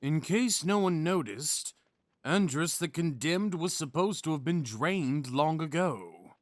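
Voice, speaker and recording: A pointed male sceptic in a fantasy council, played by a man. His voice is on the high side and very unhurried. The sound is close and dry. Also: lively intonation, no echo.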